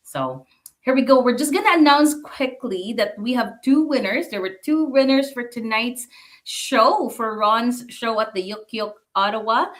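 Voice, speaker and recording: A woman's voice: fairly high pitch, expressive, unhurried. A Filipino woman whose first language is Bisaya, chattily hosting a live talk show with a Filipino accent and some Tagalog mixed in. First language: Bisaya (Waray). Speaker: radio show host.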